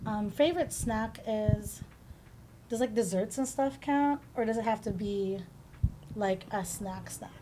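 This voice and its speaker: Woman from the philippines, soft mellow voice, chatty casual podcast guest, bit of room noise. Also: mid-range voice, measured pace, poor audio. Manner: chatty, informal, frequent "like". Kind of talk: casual interview answers.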